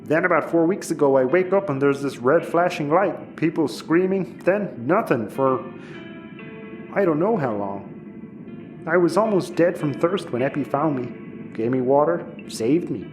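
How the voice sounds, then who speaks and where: An actor playing a frightened, grateful, eager cult follower in an audio comedy, earnest and pleading. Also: male voice, fairly high pitch, lively intonation, unhurried, music in the background. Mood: earnest recounting. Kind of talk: audio comedy drama acting.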